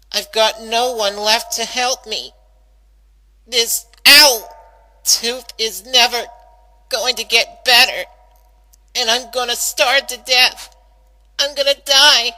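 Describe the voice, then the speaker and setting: A woman acts out a stubborn young female cartoon pony in pain and afraid. The voice is very bright and sharp, fairly high, and halts with emotion at an unhurried pace. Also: steady tone, exaggerated voice, rough recording. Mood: distressed, self-pitying. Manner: emotional, halting, exclaiming in pain. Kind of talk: dramatic fan-fiction reading.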